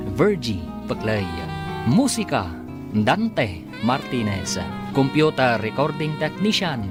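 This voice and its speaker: A man narrating and announcing a radio drama, drawing out titles and credits with emphasis. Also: medium pitch. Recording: radio drama with music underneath.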